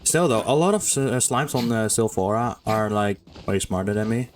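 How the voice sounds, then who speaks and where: A man's voice: fairly low pitch, animated, normal speed, background noise. A man giving casual gameplay commentary as a streamer, rambling and thinking aloud. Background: faint game music.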